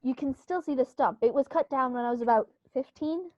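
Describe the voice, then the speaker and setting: A woman playing a fussy English gentleman, high-pitched. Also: a little fast, dry recording, muffled recording, close to an everyday voice. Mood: flustered. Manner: fussy, exclamatory, easily rattled. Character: flustered upper-class English gentleman. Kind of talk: 1930s radio play acting.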